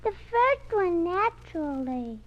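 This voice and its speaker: A woman's voice: very high-pitched, very animated, slow, exaggerated voice. A woman playing a sweet, trusting, stubborn little girl in a 1940s radio drama, innocent and literal in manner. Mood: trusting, insistent. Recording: muffled vintage 1940s radio recording.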